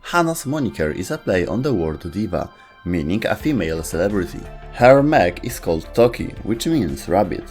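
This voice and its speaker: Low-pitched man narrating over music. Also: sing-song, normal speed, darkish tone. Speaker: video host and narrator. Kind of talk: scripted narration.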